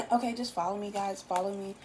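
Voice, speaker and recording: A tired woman with a raspy voice, giving a casual, chatty tarot reading in loose, swearing everyday talk. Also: mid-range voice, steady tone, fairly quick, background noise. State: just woke up, tired. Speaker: tarot reader.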